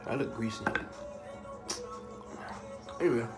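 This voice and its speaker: A young man from the Bahamas chats through a vlog Q&A with a laid-back, joking manner and plenty of street slang. His voice sits at an everyday middle pitch. Music plays clearly behind him. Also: takes it slowly. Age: young adult. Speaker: vlogger answering viewer questions. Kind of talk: casual slangy Q&A chat.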